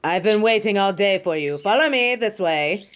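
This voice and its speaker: A woman's voice: mid-range voice, lively intonation, normal speed, a little hiss or hum, natural voice. A woman playing a haughty, theatrical costume designer for superheroes in a roleplay audio drama, imperious and dismissive yet fond. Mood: grand, welcoming. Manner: theatrical, imperious, dismissive, calls listener darling.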